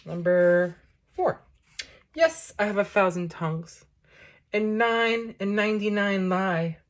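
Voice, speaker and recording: A woman reading poetry aloud, delivering it as a measured, declamatory recital in short phrases. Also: mid-range voice, lively intonation. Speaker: poetry reader introducing a book.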